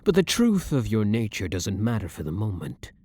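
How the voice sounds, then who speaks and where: A man playing a blunt, dominant, brooding vampire hunter who is secretly a vampire, in a husky voice with measured, formal, theatrical phrasing for an ASMR roleplay. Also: lowish voice, huge pitch swings, darkish tone, full character voice. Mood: commanding, teasing. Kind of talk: ASMR roleplay audio drama acting.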